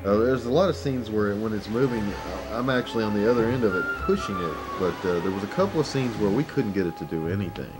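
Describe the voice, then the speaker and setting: A man in a casual interview, looking back on amateur filmmaking in an informal, halting way. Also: fairly low pitch, lively intonation, poor audio. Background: music.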